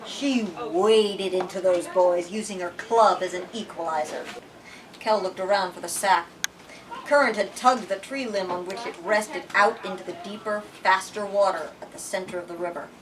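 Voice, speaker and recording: A woman reading a story aloud to a group in an expressive, emphatic way. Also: medium pitch, moderate pace. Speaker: bookstore lit talk leader reading aloud. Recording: distant microphone, room with audience noise.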